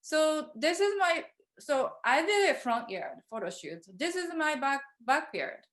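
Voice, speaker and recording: A woman artist from Japan, whose first language is Japanese, talking over a video call in a halting, filler-heavy way. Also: sits high, sing-song, a bit bright. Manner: hesitant, many fillers and restarts. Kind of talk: virtual studio visit talk.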